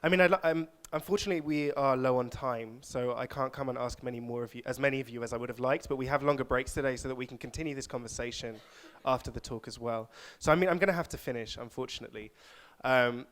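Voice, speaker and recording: Male conference speaker, mid-pitched, steady and reflective. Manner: reflective, measured closing remarks. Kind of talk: conference talk with audience questions. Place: lecture hall.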